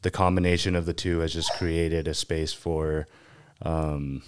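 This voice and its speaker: A man's voice: very deep voice, flat delivery, a little slow, noisy background. A young man from Pasadena, a guest on a casual podcast, talking in an easygoing, rambling way with lots of fillers. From Pasadena, California. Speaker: podcast guest, van builder.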